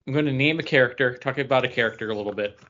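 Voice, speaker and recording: A man runs a quiz in loose, bantering podcast style. He speaks haltingly and often stumbles mid-sentence. The recording is somewhat dull and lo-fi. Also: medium pitch, pushed and bright. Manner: halting, stumbles over words. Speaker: podcast co-host running a trivia game. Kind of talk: casual banter, quiz hosting.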